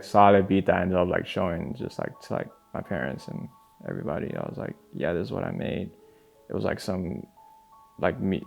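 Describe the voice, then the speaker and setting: A man's voice: low voice, vocal fry. A young man who grew up in Spain, telling his story in an interview in a loose, rambling way full of "like" fillers. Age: young adult. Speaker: music producer.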